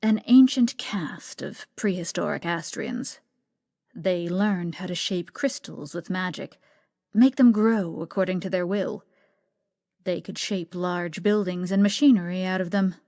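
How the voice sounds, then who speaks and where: A woman's voice: on the low side, vocal fry, a little mellow, no echo, studio quality. A woman playing a stern, authoritative city councilor in a fantasy video game, speaking formally and with command. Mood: grave. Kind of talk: English video game cutscene acting.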